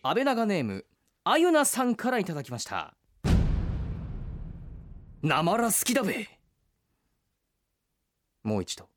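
A man's voice: high voice, wildly swooping pitch, unhurried, pauses often, background noise. A man co-hosting a radio show, chatting casually and jokingly and reading out announcements and listener messages. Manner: informal, joking. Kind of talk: casual banter with read-out announcements and listener mail.

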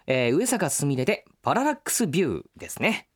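Guy doing jokey radio banter, talks fast, really up and down in pitch. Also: very animated, husky. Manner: joking, playful. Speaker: radio show co-host.

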